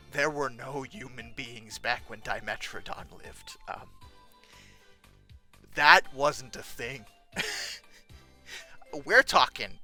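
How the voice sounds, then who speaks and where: Exasperated comedic host, sarcastic and casual, very lively intonation, bright voice, close dry sound over faint music. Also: mid-range voice. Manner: exasperated, sarcastic, incredulous asides. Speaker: host reacting to old book text and pictures. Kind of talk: casual comedic commentary with read-aloud passages.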